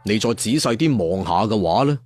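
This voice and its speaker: A man's voice: fairly low pitch, animated, normal speed, darkish tone. A man with a Hong Kong Cantonese accent narrating a story dramatically and colloquially, chatting to listeners with rhetorical asides. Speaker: audiobook storyteller. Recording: music bed behind the voice.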